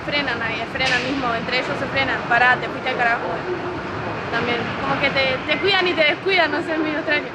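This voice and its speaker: A woman's voice: high voice, brisk. An eighteen-year-old woman from Montevideo with a Uruguayan accent, a coffee vendor talking in a casual, slightly hesitant way. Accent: Uruguayan Spanish. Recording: loud crowd and traffic noise.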